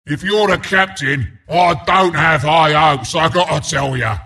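An actor voicing a mean, cocky porcupine beast as a video game announcer, with a British accent, a gravelly rasp and a rowdy, taunting, blunt delivery. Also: male voice, on the high side, measured pace, sharp tone, heavily acted. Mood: scornful, gruff. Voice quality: gravelly, raspy. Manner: taunting, rowdy, blunt, mock-sympathetic asides.

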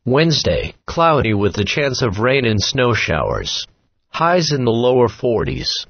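A synthetic text-to-speech man's voice reading a scripted weather forecast as an automated radio announcer, in a flat, clipped, formulaic way. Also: medium pitch, a little slow, gravelly. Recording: dull lo-fi weather radio recording.

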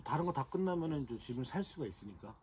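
A man's voice: on the high side, big pitch swings, racing, crowd noise. A man on a renovation site explaining the work plan in short, broken phrases. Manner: explaining plans in short fragments. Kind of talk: on-site conversation. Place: house under renovation, indoors.

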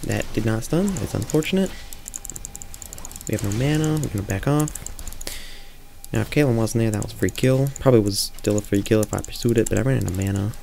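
Gravelly young man talking over gameplay. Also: fairly low pitch, brisk, dark tone, a little hiss or hum. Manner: chatty, joking, thinking aloud. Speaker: streamer. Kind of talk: live gameplay commentary. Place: small room indoors.

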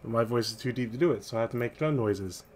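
A man's voice: medium pitch, fast, slight background noise. A man in his thirties chatting casually and joking dryly while playing a board video game with a headset mic. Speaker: streamer. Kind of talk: casual gaming chat. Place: home room, headset. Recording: game music underneath.

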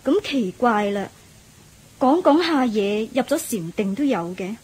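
A woman's voice: on the high side, animated, measured pace, darkish tone, husky. An actress playing a curious, easily amazed laywoman in a radio drama, questioning in a wide-eyed way. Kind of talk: Cantonese radio drama acting.

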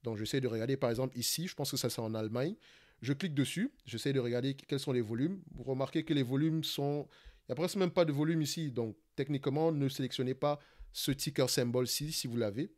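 Cameroonian guy rushing thru a stock breakdown, lots of restarts and "d'accord?", mid-pitch voice. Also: darkish tone. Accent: Cameroonian French. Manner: fast talker, searches for words, many restarts and "d'accord?". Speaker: investing channel host. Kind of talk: live screen-share stock analysis.

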